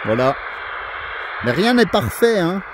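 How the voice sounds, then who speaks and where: A man's voice: high voice, very animated, measured pace, background noise. A man with an accent from France, talking as an amateur radio operator in a friendly, courteous, casual way. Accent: French. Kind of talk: casual radio contact chat. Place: outdoors on a small mountain summit.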